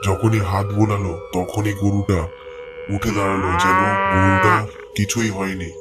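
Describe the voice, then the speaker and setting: A man with a fairly low voice tells a folk tale in a dramatic storybook manner. Music plays clearly behind him. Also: moderate pace. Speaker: narrator. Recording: music under the voice.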